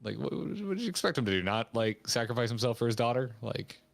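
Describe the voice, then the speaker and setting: A man's voice: medium pitch, big pitch swings, fast, creaky. A man giving casual, wry reaction commentary on game cutscenes, swearing freely as he co-hosts a stream. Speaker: co-host of a gaming reaction stream.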